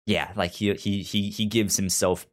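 A man co-hosting a casual comic book discussion, enthusiastic, with a halting delivery that repeats words mid-thought. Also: fairly low pitch. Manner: enthusiastic, animated, breaks into mock quotes. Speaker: podcast co-host.

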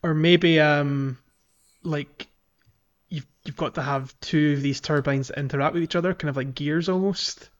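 Man, slightly high voice, chatty informal podcast host, video game talk, dull lo-fi audio. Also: fairly even intonation, normal speed. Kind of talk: casual podcast chat about a video game.

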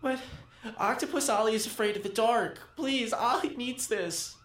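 A woman's voice: a little high, normal speed, distant mic, lightly acted. A woman acting in an audio drama as a timid, anxious twelve-year-old boy. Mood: scared, pleading. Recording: roomy, off-mic student audio drama.

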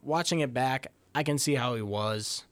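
A man's voice: medium pitch, moderate pace, dry recording. A man co-hosting a sports podcast, thinking aloud through a fight breakdown in a hesitant, restarting way. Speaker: podcast co-host, fight analyst.

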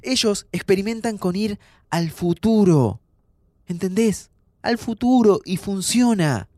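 Animated, high-voiced young Argentine man. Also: takes it slowly, dry recording, studio quality. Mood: excited, urgent. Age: young adult. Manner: informal, expressive, breaks into restarts. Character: playful, impulsive young man facing terminal illness. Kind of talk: naturalistic audio fiction dialogue.

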